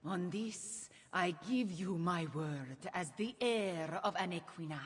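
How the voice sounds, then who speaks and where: Regal cat-folk queen, slow and solemn. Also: on the low side, slightly rough, in a large hall. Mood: solemn, resolute. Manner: solemn, deliberate, regal declarations. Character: proud young cat-folk heir queen rallying her people. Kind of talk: fantasy video game acting.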